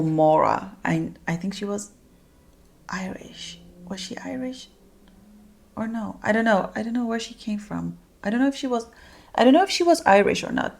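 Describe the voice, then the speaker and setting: Young woman, Dutch accent, lowish gravelly voice, chatty beauty vlogger. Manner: chatty, rambling with many fillers. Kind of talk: casual makeup tutorial chat.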